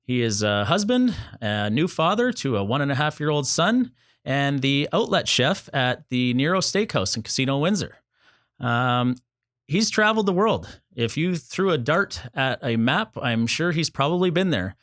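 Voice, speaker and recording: A man's voice: mid-range voice, very animated, normal speed, a bit bright, no room sound, clean studio sound. A man hosting a talk show, upbeat and joking as he introduces guests and promotes the show's causes. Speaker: show host.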